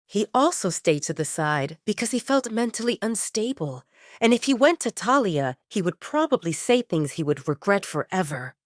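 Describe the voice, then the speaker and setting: Female romance audio drama narrator: lively, wide-ranging intonation, scripted storytelling, dry studio-like sound. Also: medium pitch, moderate pace, dry recording.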